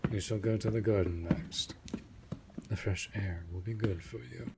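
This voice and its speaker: A courtly vampire master, acted by a man in a fantasy roleplay, speaking in a very low voice at a slow, measured pace. His words are formal and solemn, with a protective bearing, over clearly audible background noise. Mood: solemn, apologetic. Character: courtly, protective male vampire lord of a manor. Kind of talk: scripted fantasy roleplay audio drama.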